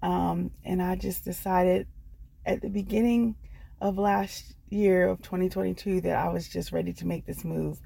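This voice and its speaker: A woman's voice: lowish voice, steady tone, normal speed, creaky, mellow, slightly rough. A middle-aged American woman telling a personal story casually, hesitating and repeating phrases as she goes. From Washington, D.C. area, United States. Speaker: guest storyteller.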